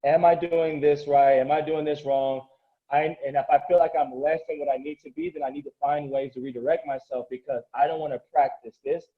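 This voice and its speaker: A man's voice: fairly high pitch, fairly even intonation, fairly quick, phone-quality sound. A man who coaches and grew up speaking Korean, talking earnestly and motivationally in a casual interview, often restarting his sentences.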